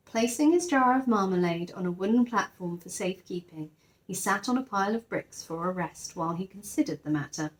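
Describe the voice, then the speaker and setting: A woman's voice: mid-range voice, lively intonation, a little fast, poor audio. A woman narrating a children's story expressively, like a teacher reading aloud to her class.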